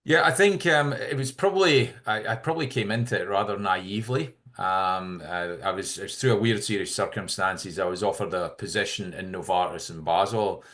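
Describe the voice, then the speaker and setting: A middle-aged Scottish man with a low-pitched voice speaks as an interview guest. He explains things in a measured, articulate way, in an easy conversational register. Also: a bit bright. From Scotland. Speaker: podcast guest, tech company CEO.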